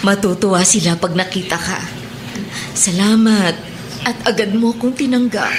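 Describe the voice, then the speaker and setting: A woman's voice: medium pitch, fairly even intonation, moderate pace, background noise, close to an everyday voice. A woman acting a middle-aged mother in a Tagalog radio drama, tender, repentant and emotional. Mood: relieved, grateful. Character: tender, repentant mother reunited with her grown son.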